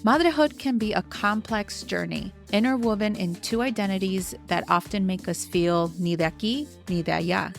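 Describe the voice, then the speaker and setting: A woman's voice: medium pitch, moderate pace, gravelly, hardly any pauses, soft background music. A woman in her thirties hosting a podcast in an upbeat way, mixing Spanish words into her English. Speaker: podcast host. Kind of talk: podcast hosting with scripted intro.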